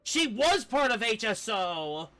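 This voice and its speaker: A man's voice: high-pitched, sing-song, slow pace, sharp tone. A man giving casual live commentary as he streams a video game. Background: game music.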